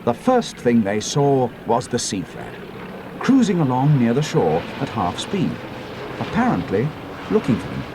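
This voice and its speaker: A man narrating an adventure audiobook in a measured storytelling manner. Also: medium pitch, wildly swooping pitch, noisy background.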